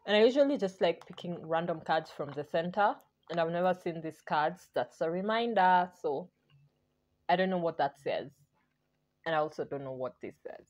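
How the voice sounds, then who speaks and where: A woman's voice: fairly low pitch, animated, normal speed, a little mellow, dull audio. A young woman from Kenya, talking casually to camera in her bedroom as a vlogger, thinking aloud and repeating "basically". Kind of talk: casual solo vlog. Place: bedroom, indoors.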